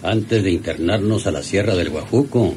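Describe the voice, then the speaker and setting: A man's voice: deep voice, moderate pace, darkish tone, lightly acted. A man playing a brash, dismissive treasure-hunting bandit with a rural Mexican accent in a radio serial. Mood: coaxing. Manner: folksy, brash. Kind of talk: old Spanish-language radio serial acting. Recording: noisy old radio drama recording.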